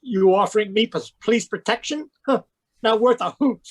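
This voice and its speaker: A man's voice: high-pitched, normal speed, no room sound. A man playing a crusty, irritable older man in a radio drama read live over a video call. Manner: gruff, irritable, suspicious. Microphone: home microphone on a video call.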